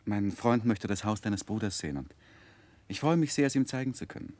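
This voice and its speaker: An actor playing an earnest young gentleman in a 1960s radio play, speaking and narrating in a measured, polite way. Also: fairly low pitch, expressive, dry recording, close to an everyday voice. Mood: earnest, fond. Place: dry radio studio.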